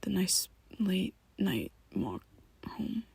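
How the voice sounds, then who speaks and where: A woman's voice: medium pitch, sing-song, extremely slow, gravelly, darkish tone, breathy edge, no room sound, heavily acted. A woman voicing a young male vampire best friend in an ASMR roleplay, who talks hesitantly and half-whispers with nervous stammers. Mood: evasive.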